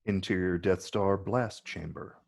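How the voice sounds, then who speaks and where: A man's voice: deep voice, fairly even intonation, measured pace, creaky. A man narrating stage directions in a scripted reading.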